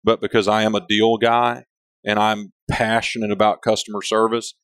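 A man's voice: fairly low pitch, little pitch movement, normal speed, a bit bright, smooth, no echo, clean studio sound. A man with a big voice and big personality, talking candidly in a casual interview, rambling and often restarting his sentences. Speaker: company leader, interview guest.

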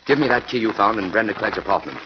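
Man, actor playing a determined hero, very low voice, steady, earnest, noisy dull old radio. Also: quick pace, natural voice. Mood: resolute. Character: determined crime-fighting biochemist hero. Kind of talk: 1940s radio acting.

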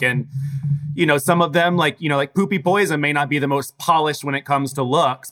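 A man's voice: mid-range voice, fairly bright tone. A man hosting an interview, asking eager questions and heaping praise on his guest. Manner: effusive, gushing with praise. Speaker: interview host.

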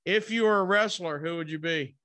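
A man with a high, bright voice hosting a casual interview. He keeps his questions informal and jokey, like loose radio chat. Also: fairly quick.

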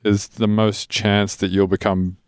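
A man's voice: fairly low pitch, little pitch movement, unhurried, clear, resonant tone, no echo, clean studio sound. An American man chatting casually in a blunt, informal, sweary way. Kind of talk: casual chat.

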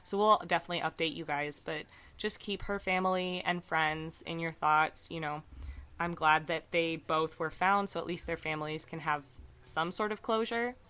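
Woman podcast host, lower voice with a bright edge, slight rasp, chatty and earnest. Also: steady tone, moderate pace. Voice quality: slightly raspy from screaming. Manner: chatty, many fillers, earnest about cases. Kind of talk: casual true crime podcast chat.

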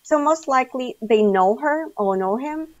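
A woman's voice: high voice, lively intonation, normal speed, no room sound. A woman in a community health director role explains programs in a plain, patient way, sometimes repeating a word. The clip sounds dry and somewhat muffled, with a lo-fi quality. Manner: explanatory, occasional repeated words.

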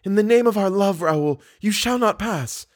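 A man's voice: sits high, lively intonation, moderate pace, pitched above the performer's own voice. A man voicing an anguished young opera singer woman with dramatic, solemn pleading in an audiobook. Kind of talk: audiobook character voice.

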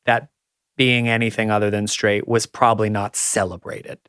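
A man's voice: on the low side, flat delivery, moderate pace, fairly bright tone, clean tone, dry recording, clean studio sound. A man hosting a podcast, introducing his guest and asking encouraging questions. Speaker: podcast host. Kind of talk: interview hosting.

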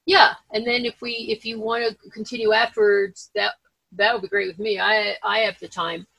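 A female podcast host with a gravelly, creaky edge to her voice, recorded close and dry. She chats casually and haltingly, often doubling back to rephrase. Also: medium pitch, little pitch movement, sharp tone, no echo, low-quality recording. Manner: halting, self-correcting, rambling.

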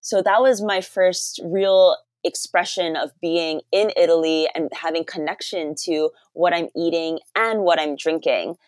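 Low-voiced American woman telling her story, dry sound. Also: fairly even intonation, measured pace, hardly any pauses, dry recording. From Hawaii, United States. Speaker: podcast guest, sommelier. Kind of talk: conversational storytelling in an interview.

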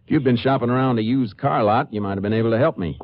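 An American man playing a calm, dogged Texas Ranger in a 1950s western radio drama, questioning in a measured, probing way. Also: medium pitch, a little hiss or hum, close to an everyday voice. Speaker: lead actor and narrator. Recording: muffled old radio broadcast, music.

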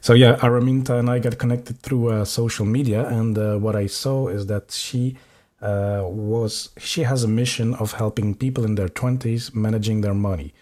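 Mellow-voiced man hosting a podcast. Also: fairly low pitch, steady tone, normal speed. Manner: hesitant, many filler sounds and restarts. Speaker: podcast host. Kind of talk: casual interview hosting.

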